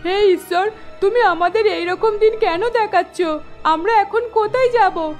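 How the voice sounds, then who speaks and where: Woman playing a high-pitched, plaintive elderly mother-in-law. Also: measured pace. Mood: distressed, pleading. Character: elderly village mother-in-law, anxious, caring, decisive. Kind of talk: cartoon story dialogue. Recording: music under the voice.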